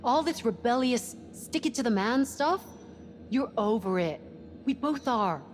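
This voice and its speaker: A woman's voice: fairly high pitch, lively intonation, measured pace. A woman playing a cautious, level-headed ship's doctor in a sci-fi game, speaking in a wary, firm, wry way. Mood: firm refusal. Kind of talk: naturalistic dramatic game cutscene acting.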